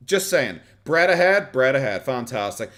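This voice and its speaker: A man's voice: mid-range voice, very animated, moderate pace, fairly bright tone. An Irish man streaming a casual reaction chat, sarcastic, swearing often and laughing a lot.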